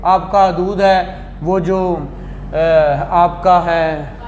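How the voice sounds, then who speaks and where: A man's voice: a little high, steady tone, very slow, darkish tone, highs cut off. A man with a Pakistani accent giving a classroom law lecture in a didactic way, asking rhetorical questions and repeating key phrases. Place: indoor classroom. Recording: echoey classroom with faint noise.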